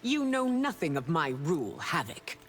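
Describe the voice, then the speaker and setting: An imperious video game empress of great age. Her low voice swells and falls dramatically, proud and cutting in every phrase. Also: very animated, normal speed, soft background music. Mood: cold, scornful. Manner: regal, haughty, cutting. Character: proud, imperious empress, thousands of years old. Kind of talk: video game character dialogue.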